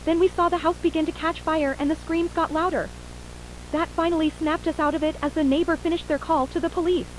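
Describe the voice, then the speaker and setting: A woman's voice: very high-pitched, fairly even intonation, fairly quick, poor audio. A woman playing a shaken mother and attack survivor, plainly recounting events in an acted horror audio drama interview. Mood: distressed. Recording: faint hum and hiss.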